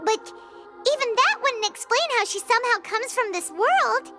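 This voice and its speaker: A woman voicing an excitable, curious tiny floating female companion in a game cutscene, talking in a bouncy way. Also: normal speed, exaggerated voice. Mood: curious, alarmed. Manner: high-pitched, bouncy, talks about herself in third person. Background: game music.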